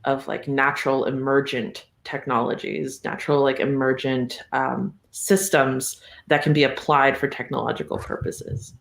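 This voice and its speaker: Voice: medium pitch, flat delivery, moderate pace, creaky, hardly any pauses. Thoughtful podcast guest in an echoey room. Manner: thoughtful, meandering, breaks into chuckles. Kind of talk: casual reflective discussion. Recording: roomy, echoey sound.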